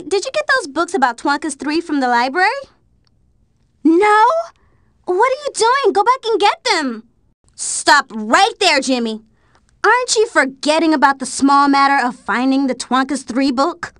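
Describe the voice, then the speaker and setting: A woman voicing a bossy, sassy young schoolgirl in an animated video game, with a nagging, exclamatory delivery. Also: very high-pitched, a little slow, no echo, clean studio sound, exaggerated voice. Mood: exasperated, urgent.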